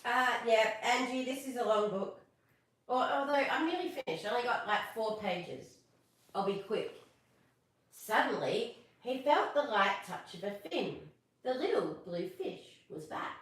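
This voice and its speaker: A woman hosting a casual live storytime, chatting and reading aloud in a playful, expressive manner for children. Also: a little high, a little slow. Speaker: live stream storytelling host. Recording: very echoey room, distant from mic.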